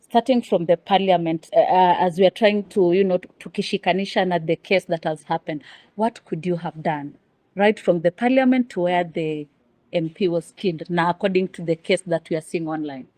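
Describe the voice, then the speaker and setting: A woman's voice: on the low side, moderate pace, dark tone, dry recording. A woman with a Kenyan accent asking questions as an interviewer in a conversational way, mixing in Swahili words. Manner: mixes Swahili words into English.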